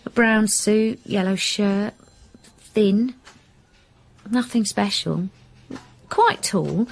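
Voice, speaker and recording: An actress playing an ordinary, helpful eyewitness in a radio drama, recalling details in a matter-of-fact way. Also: female voice, medium pitch, slightly rough, heavily acted. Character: ordinary, helpful female eyewitness recounting what she saw.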